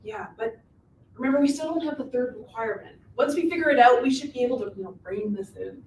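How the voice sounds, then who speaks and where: A woman acting a bossy, scheming, animated witch who insists on steering the story, in a live reading of a comic stage play. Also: high-pitched, fast talker, gravelly. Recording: distant, echoey room recording with hiss.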